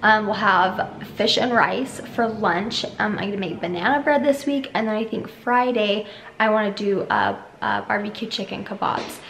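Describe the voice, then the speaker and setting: A young American woman with a slightly hoarse voice, chatting casually to a handheld camera at home as a family vlogger. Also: medium pitch, very animated, normal speed, fairly bright tone, a little hiss or hum. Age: young adult. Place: kitchen and living room at home. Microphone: camera held at arm's length.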